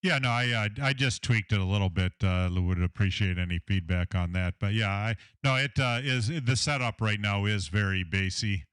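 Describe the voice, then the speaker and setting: A deep-voiced man with a Southern American accent, chatting casually about his radio audio setup from his home shack, with a lot of fillers and technical talk. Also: normal speed, hardly any pauses, a bit bright, smooth, no echo. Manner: rambling, filler-heavy, technical. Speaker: ham radio operator, station owner.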